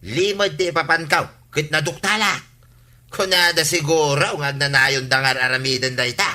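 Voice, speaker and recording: An indignant village complainer, played by a man, with an extremely bright, tense edge and a slightly high pitch. He is righteous and insistent, in radio comedy drama style. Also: fairly even intonation, moderate pace. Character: indignant, righteous village tattletale determined to report.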